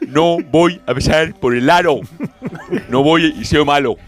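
A man's voice: on the high side, a little slow, close to an everyday voice. An actor playing a flamboyant, stubborn male pop star who spouts conspiracy theories, emphatic and repetitive, in a radio comedy sketch. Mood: defiant.

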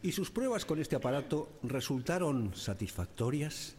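An actor playing a formal, courteous inquiry official in a radio drama, probing a witness with a husky voice. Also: male voice, medium pitch, big pitch swings, normal speed, a little mellow, close to an everyday voice. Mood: formal, inquiring. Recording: long echoing hall reverb.

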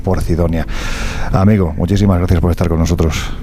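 Deep dark-voiced radio host. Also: male voice, steady tone, brisk, slight background noise. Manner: chatty, enthusiastic, jokes. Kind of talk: radio talk show.

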